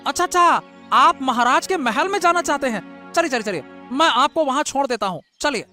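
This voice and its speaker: A man playing an excitable, chatty, humble fisherman in a Hindi story drama, often repeating his words. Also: very high voice, fairly quick, faint music behind, heavily acted. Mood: alarmed. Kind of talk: Hindi moral-story audio drama acting.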